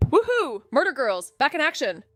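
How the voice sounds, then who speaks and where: An actress playing a sleepless, sardonic young amateur detective in an audio drama, sweary, wry and defiant. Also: female voice, sits high, big pitch swings, moderate pace. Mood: excited. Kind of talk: first-person audio drama narration and dialogue.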